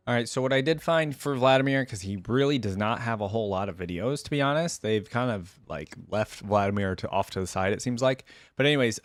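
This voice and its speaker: A man's voice: medium pitch, no room sound. A man hosting a reaction video, chatting casually and thinking aloud in a puzzled way. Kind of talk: casual reaction commentary, some story reading.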